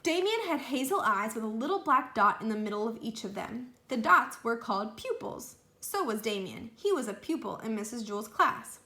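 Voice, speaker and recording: Echoey bright-voiced woman reading a storybook. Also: fairly high pitch, big pitch swings, normal speed, hardly any pauses. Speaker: children's book reader. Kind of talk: read-aloud storytelling narration. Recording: roomy echo.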